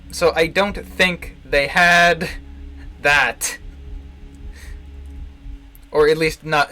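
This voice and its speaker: Male streamer, bright forceful voice, casual game commentary, wry halting phrasing, music behind. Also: a little high. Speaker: streamer playing a visual novel. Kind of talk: casual live playthrough commentary.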